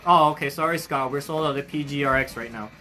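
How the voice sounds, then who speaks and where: A young adult hosting a casual livestream for a hobby shop, with a bright, ringing tone. The talk is upbeat and friendly, full of fillers and quick restarts while greeting viewers. Soft music plays faintly in the background. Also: medium pitch. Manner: friendly and effusive toward viewers. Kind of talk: casual livestream chat, greeting viewers and reading their comments.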